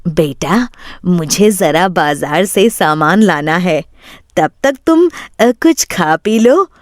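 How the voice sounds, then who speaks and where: A woman storyteller playing a sly thieving woman who puts on motherly kindness, speaking in a coaxing, unhurried way. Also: fairly low pitch, lively intonation, no room sound, studio quality.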